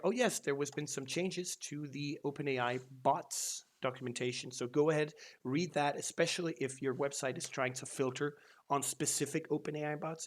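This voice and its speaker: A man hosting a casual news roundup on his channel, talking loosely, with many ums and false starts. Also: fairly high pitch. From Denmark. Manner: loose and rambling, lots of ums and restarts. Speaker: SEO news channel host.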